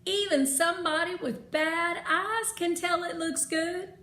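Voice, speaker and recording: A woman voicing a folksy old woman with a colloquial, drawn-out way of talking in a children's story. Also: very high voice, fairly even intonation, pushed and bright, voice pushed up, full character voice. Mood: admiring. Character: warm folksy old woman with failing eyes. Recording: roomy echo, faint noise.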